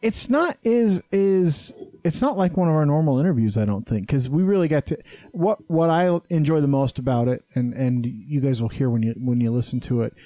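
Male podcast host, loose and rambling, mellow voice, dry studio mic. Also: mid-range voice, no echo. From Utah. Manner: rambling, halting, many fillers and restarts. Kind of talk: casual chat. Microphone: studio headset mic.

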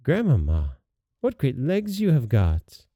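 A man's voice: medium pitch, huge pitch swings, slow, warm tone, no echo, clean studio sound, pitched above the performer's own voice, exaggerated voice. A man voicing an innocent, trusting little country girl in a storybook, artless and full of wonder. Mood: amazed.

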